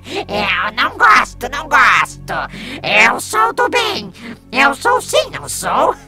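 A woman's voice: high-pitched, wildly swooping pitch, very slow, full character voice. A woman in an audio drama voicing a childish, sadistic villain sidekick who taunts in a giggly singsong. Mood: childish taunting. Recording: very loud music behind the voice. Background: dramatic music.

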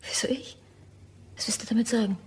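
An actress playing a murder suspect's scheming, fearful mistress in a crime radio drama, with urgent delivery. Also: female voice, fairly high pitch, steady tone, fairly quick, a little hiss or hum, natural voice. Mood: alarmed.

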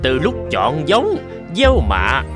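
A man voicing a flattering, sly court steward in a cartoon, speaking in a wheedling, obsequious way, with music behind. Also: fairly high pitch, slow, heavily acted. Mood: boastful. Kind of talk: cartoon voice acting.

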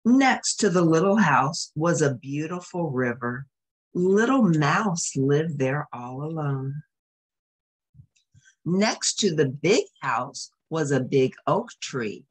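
Woman reading kids book out loud, slow n very animated, slightly husky, chats about the pics. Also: deep voice. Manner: expressive, explains the pictures. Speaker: storyteller reading a picture book aloud. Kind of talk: read-aloud storytelling with asides to young listeners.

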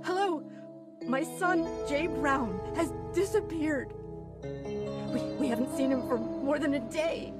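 A woman playing an anxious, tearful mother in an animated game cutscene, with loud music behind her. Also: high-pitched, slow.

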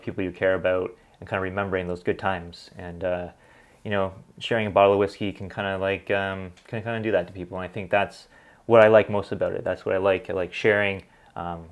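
A man in his thirties with a Canadian accent, chatting casually as a channel host, relaxed and full of ums as he reads comments and tastes whiskey. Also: sits low. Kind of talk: casual vlog, reading comments and tasting. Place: small home studio with bottles on a desk.

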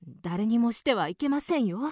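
A woman's voice: on the high side, lively intonation, normal speed, darkish tone, no echo, studio quality. An actress playing an anxious, prim wife and mother with a scolding, proper way of speaking in a radio drama. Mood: stern. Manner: scolding, proper feminine diction.

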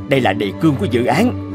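A man playing an eager, polite and confident inventor in a cartoon dub. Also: fairly high pitch. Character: gleeful, driven male inventor, confident and polite. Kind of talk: Vietnamese cartoon dub acting. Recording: music under the dialogue.

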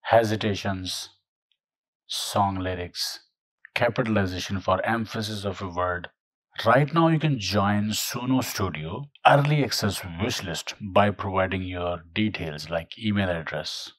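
A man narrating a software tutorial in a steady, scripted, explanatory way. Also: fairly low pitch, unhurried, dry recording.

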